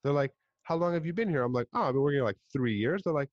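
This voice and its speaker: A young man with a Montreal English accent, hosting a podcast in casual chat, an animated storyteller who swears and puts on voices. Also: on the high side, rapid-fire, no room sound, lo-fi sound. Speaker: podcast host.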